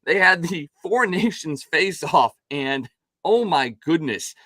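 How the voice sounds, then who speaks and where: A man hosting a sports talk show, his voice raspy and hoarse from calling games, chatting in an upbeat, casual way with many restarts. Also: on the high side, expressive, takes it slowly, sharp tone, no room sound.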